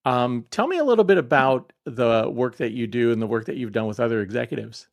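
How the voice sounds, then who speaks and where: A man's voice: mid-range voice, animated, fairly quick, gravelly. A man hosting a business podcast, chatting casually and introducing his guest, with frequent hesitations. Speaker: podcast host. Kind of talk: interview conversation.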